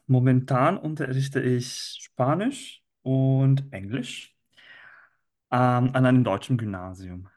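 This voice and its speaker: An Italian man in a relaxed podcast conversation, with a medium-pitched voice and an Italian accent. He talks at a very slow pace and often hesitates as he searches for the right phrase. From Italy. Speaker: language teacher, interview guest.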